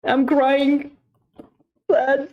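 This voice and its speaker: A woman's voice: high-pitched, little pitch movement, extremely slow, narrow, tinny audio, a little hiss or hum. A woman with a Romanian accent hosting a chess livestream, chatting casually and expressively with viewers between bits of commentary.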